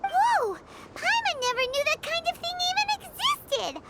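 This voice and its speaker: Brief: a small excitable game fairy, squeaky and bouncy, speaks of herself in the third person. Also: female voice, a little slow, heavy background noise, heavily acted. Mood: amazed. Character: tiny floating female fairy companion, chirpy and excitable. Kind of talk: game voice acting. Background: loud game music.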